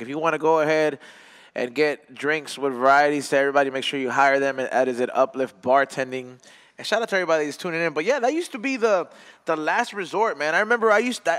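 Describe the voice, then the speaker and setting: A man co-hosting a podcast in casual chat, profane and laughing, with quick asides in Spanish. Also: mid-range voice, a bit bright. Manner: profane, laughing, slips into Spanish for quoted parents. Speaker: podcast co-host. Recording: hall reverb.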